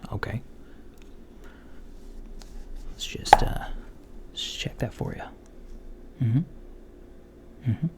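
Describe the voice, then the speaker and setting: Man playing a slow, soft-spoken alien doctor. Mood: calm, attentive. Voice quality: soft, breathy, often whispered. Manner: hesitant, gently deadpan, murmuring. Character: mild, puzzled alien doctor doing a routine checkup. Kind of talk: soft-spoken ASMR roleplay. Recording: lo-fi home recording, faint background noise.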